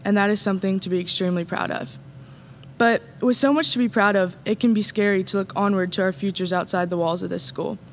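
A woman's voice: mid-range voice, a little hiss or hum. Teen girl reading a graduation speech. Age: late teens. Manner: earnest, reading from notes. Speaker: student speaker. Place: empty school auditorium, at a podium. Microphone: podium mic.

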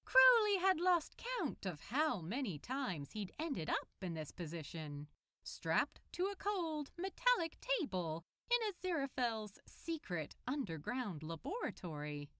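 A woman reading fan fiction aloud as narrator, in an expressive storytelling style. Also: on the high side, a little slow, dry recording, studio quality.

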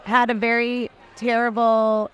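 A woman's voice: a little high, little pitch movement, measured pace, smooth, lo-fi sound. A woman in her thirties, a guest at an expo booth, speaking earnestly and with conviction. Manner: earnest, advocating. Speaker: guest, cannabis ambassador. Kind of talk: interview answers.

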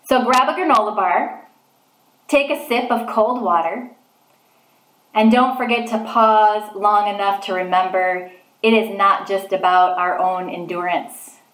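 Slow-paced woman preaching, roomy sound. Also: mid-range voice, a bit bright. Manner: earnest, deliberate phrasing. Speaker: preacher, clergy. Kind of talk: online sermon. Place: small indoor room at home. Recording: roomy, echoing home recording.

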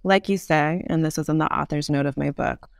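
A woman speaking as an expert interview guest, explaining in long, measured, articulate sentences. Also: low-pitched, gravelly, no room sound, clean studio sound. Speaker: podcast guest, author and urban design expert.

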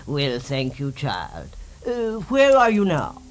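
A bloated little villain simpers in a fairly high voice. He is oily, effete and ingratiating, in vintage 1940s radio style. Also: huge pitch swings, takes it slowly, faint music behind, exaggerated voice. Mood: smug, ingratiating. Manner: effete, ingratiating, chuckling softly.

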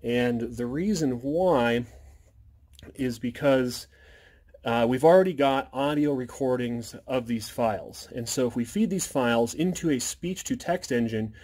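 A man's voice: mid-range voice, a little slow, a little mellow. Man giving a casual tech walkthrough. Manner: explanatory, informal. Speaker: hobbyist presenter. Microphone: handheld phone behind the camera.

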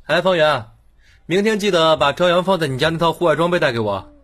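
A man's voice: a little high, fast talker, fairly bright tone, soft background music, voice pushed up. A man voicing a gullible, eager young male office colleague in an audiobook.

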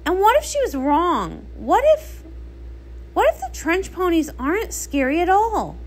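A woman's voice: very high-pitched, big pitch swings, a little slow, heavily acted. A woman voicing a passionate, defiant young sea pony with an urgent, emotional manner.

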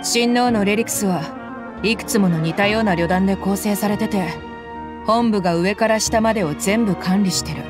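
A woman voicing a tough young mercenary who talks in a rough, casual way in a game cutscene. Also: mid-range voice, normal speed, music in the background, natural voice. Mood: easygoing. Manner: rough, casual, gruff slang. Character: tough young female mercenary, blunt, easygoing. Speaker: game character.

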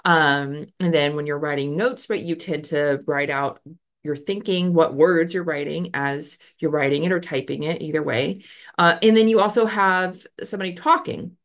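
A woman's voice: low voice, moderate pace. A woman in her thirties explaining a psychology study in a casual lecture over a video call, talking with many fillers and hand gestures. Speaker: educator explaining research. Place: home office with bookshelf. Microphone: webcam on a video call.

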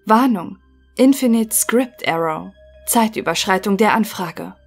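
A woman's voice: mid-range voice, measured pace, slightly rough, soft background music. A woman playing a melancholy computer intelligence, reflective and stilted, in a scripted audio drama. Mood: impassive.

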